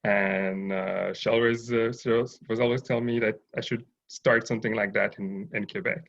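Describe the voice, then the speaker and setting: Gravelly low-voiced man, lo-fi call audio. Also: level tone, normal speed, a bit bright. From Haiti, raised in Montreal. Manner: thoughtful, hesitant with many fillers. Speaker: guest, urban farm owner. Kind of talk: interview over video call.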